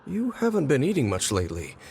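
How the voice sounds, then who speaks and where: An actor playing a kind, easygoing postman in a video game, talking in a gentle, chatty, coaxing way. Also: medium pitch, huge pitch swings, a little fast, gravelly, slight background noise. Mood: gentle, caring. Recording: background music under the voice.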